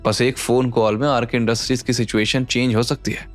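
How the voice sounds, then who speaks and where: A man's voice: medium pitch, little pitch movement, brisk, natural voice. Man as a boastful, self-important government director. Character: boastful, well-connected male government director. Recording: background music under the voice.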